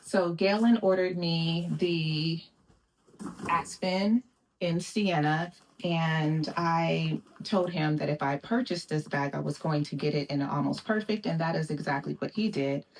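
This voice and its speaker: A woman vlogger chatting casually through a purse unboxing, in an even, monotone manner with frequent pauses. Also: lowish voice. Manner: monotone, self-described.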